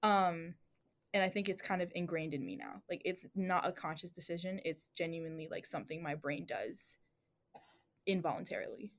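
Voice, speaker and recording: A woman's voice: on the low side, normal speed. A young woman talking casually on a student podcast, reflective and rambling, with many fillers and restarts. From Atlanta family.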